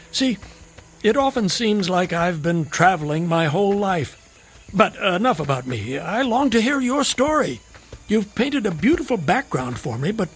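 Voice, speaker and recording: A man's voice: high-pitched, a bit bright, full character voice. An actor playing an enigmatic traveling stranger, courteous, sly and philosophical as he probes with questions in an audio drama. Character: enigmatic, wry, probing traveling stranger, man. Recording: music under the voice. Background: music.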